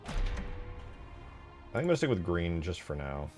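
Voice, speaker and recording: Male game modder, low register, lively intonation, informal think-aloud delivery over music. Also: normal speed. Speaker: game modder showing work in progress. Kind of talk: casual rambling screen-share commentary.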